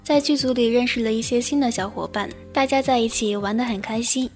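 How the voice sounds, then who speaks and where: A woman's voice: a little high, brisk, faint music behind. A woman speaking as an audio drama director in a casual thank-you afterword.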